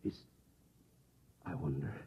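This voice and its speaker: An American actor in old-time radio drama, playing a tense, bewildered middle-aged suburban husband with an urgent, questioning manner. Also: male voice, takes it slowly, lightly acted. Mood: agitated, distracted. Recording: tinny, band-limited vintage radio recording.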